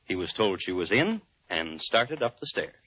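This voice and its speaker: On a dull old radio recording with light hiss and clicks, a male crime chronicler. He narrates in a formal, deliberate style with precise diction, his pitch moving expressively through the report. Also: sing-song. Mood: measured, grave. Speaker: host and narrator of a crime drama. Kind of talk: 1940s-50s radio narration, scripted.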